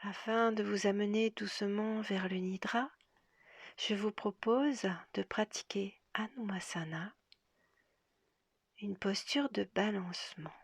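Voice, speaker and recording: A woman leading a guided relaxation as a yoga nidra guide, speaking softly and gently in a breathy half-whisper with long, measured pauses. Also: medium pitch, no echo, studio quality.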